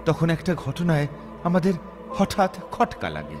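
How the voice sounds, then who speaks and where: A man reading a scripted dramatic story as narrator, expressive and suspenseful. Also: a little high, measured pace. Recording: voice over prominent background music. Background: music.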